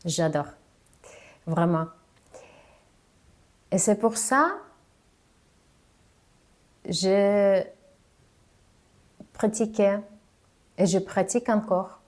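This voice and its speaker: A woman coach giving spoken advice on confident speaking, halting and careful as she talks in a language that is not her first. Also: medium pitch, darkish tone. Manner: careful, halting delivery of a non-native speaker. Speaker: communication coach.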